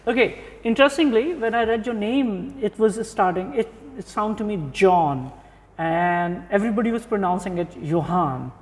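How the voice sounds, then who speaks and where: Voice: mid-range voice, expressive, normal speed, big-room sound. An interviewer hosting a podcast, asking questions in a conversational way and affirming often with short okays.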